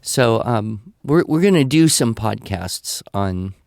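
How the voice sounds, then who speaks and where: A man answers questions in a conversational, explanatory way, with a medium-pitched voice. He leans on key phrases for emphasis as he gives advice. The sound is dry, with no room reflections. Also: expressive, slow, gravelly, darkish tone, no echo. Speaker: podcast guest answering listener questions.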